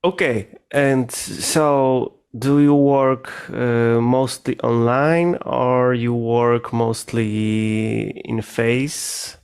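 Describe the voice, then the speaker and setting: A man's voice: mid-range voice, fairly even intonation, drawn-out words, hardly any pauses, darkish tone, smooth. A man who speaks English as a second language, interviewing casually and hesitantly, searching for his words. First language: not English. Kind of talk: casual conversation.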